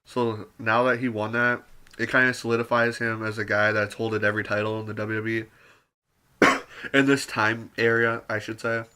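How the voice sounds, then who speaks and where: A man giving a rambling, casual spoken review full of fillers and mild swearing, his voice turning raspy with occasional coughs. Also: on the low side, flat delivery, normal speed, sharp tone. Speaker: wrestling show reviewer.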